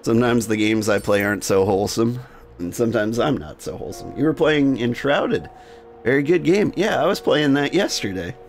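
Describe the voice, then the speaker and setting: A man hosting a game livestream, switching between jokey, rambling chat and reading game text aloud in a gravelly voice. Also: mid-range voice, lively intonation, fairly quick. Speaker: game streamer and host. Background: music.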